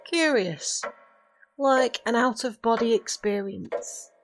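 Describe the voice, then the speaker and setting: A woman playing a centuries-old witch in an audio drama, commanding and formal, with measured, theatrical phrasing as she mentors a novice. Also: a little high, a little hiss or hum. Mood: curious, probing. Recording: voice over prominent background music.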